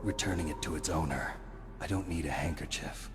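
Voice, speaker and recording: A man voicing a gruff, world-weary special forces soldier in a video game, terse and hard-boiled with a gravelly voice. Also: extremely low pitch, a little fast. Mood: stoic, somber. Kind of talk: dramatic video game voice acting. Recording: loud music behind the voice.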